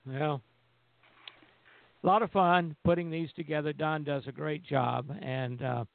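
A man's voice: on the high side, fairly even intonation, measured pace, creaky, no room sound. An older man chatting casually as a radio show host over a narrow, phone-like connection. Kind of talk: casual chat. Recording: phone-like, narrow band audio.

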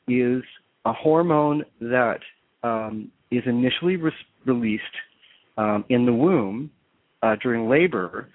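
A man hosting a call-in radio show, explaining psychology in a halting, restarting way. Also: medium pitch, no room sound. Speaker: radio talk show host. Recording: tinny phone-line sound.

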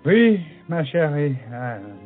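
An actor playing a proud, lovelorn officer and poet, speaking formally in a romantic audio drama. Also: male voice, fairly high pitch, very animated, takes it slowly. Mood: tender. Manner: formal, declamatory. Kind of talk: romantic comedy stage-style audio drama. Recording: muffled lo-fi audio, music underneath.

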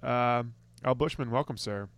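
A man's voice: medium pitch, moderate pace, a bit bright, clean tone, no room sound. A man hosting a sports podcast in casual chat, rambling with lots of restarts and the odd swear word. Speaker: podcast host.

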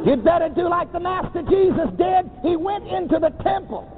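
A man preaching a sermon, shouting and leading his congregation in call and response. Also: extremely high pitch, animated, moderate pace. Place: church. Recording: tinny lo-fi congregation recording with crowd noise.

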